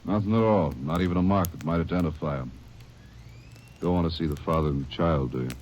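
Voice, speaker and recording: A man playing a deep-voiced, businesslike doctor. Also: fast, darkish tone, natural voice. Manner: brief, businesslike. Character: weary, matter-of-fact male doctor. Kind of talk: 1940s radio acting. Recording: hissy lo-fi vintage radio recording.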